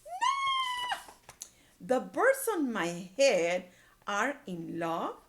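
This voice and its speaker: A woman's voice: high voice, wildly swooping pitch, very slow, heavy background noise, pitched above the performer's own voice, heavily acted. A woman voicing an anxious, easily upset male elephant from a storybook, exclaiming and asking questions in dismay. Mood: loud dismay.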